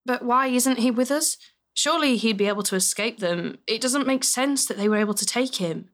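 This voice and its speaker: A man's voice: extremely high pitch, a little fast, a bit bright, voice pushed up. A man voicing a frightened young daughter who asks anxious questions.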